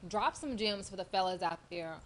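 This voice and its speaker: A woman's voice: mid-range voice, animated, normal speed. A woman talking casually, on a recording with some noise and clicks. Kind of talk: casual chat.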